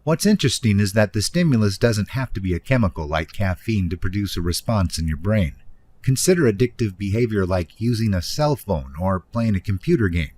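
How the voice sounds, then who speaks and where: A man's voice: on the low side, expressive, fairly quick, darkish tone, breathy edge. A man reading scripted nonfiction narration in an explanatory manner, speaking directly to the listener. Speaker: audiobook narrator, author reading own book.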